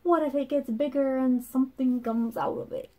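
A woman's voice: high-pitched, fairly even intonation, normal speed, mellow, voice pushed up. A woman voicing an anxious little girl mouse in a picture book, fretful and questioning. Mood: fearful, hushed.

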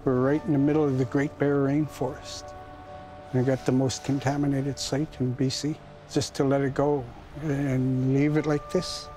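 A man's voice: medium pitch, flat delivery, normal speed, dark tone, faint music behind. A man giving a reflective account in an interview. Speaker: local interviewee.

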